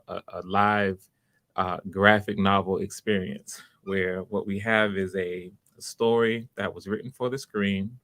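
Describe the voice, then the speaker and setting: A man hosting a live online audition stream in casual, halting, unscripted chat, welcoming and encouraging to his viewers. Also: fairly low pitch, no room sound. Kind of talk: casual livestream chat, greeting viewers by name.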